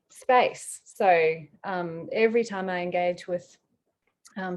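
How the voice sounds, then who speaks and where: Soft-spoken woman from Australia. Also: mid-range voice. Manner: warm, soft-spoken with small laughs. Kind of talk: casual video-call chat. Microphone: video call.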